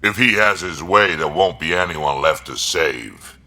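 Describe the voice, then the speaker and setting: An actor playing a gruff, ruthless, battle-hardened alien warrior in a video game, with a blunt, clipped way of talking. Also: male voice, a little slow, pushed and bright, heavily acted. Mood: grim, ruthless. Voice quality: very deep, gravelly.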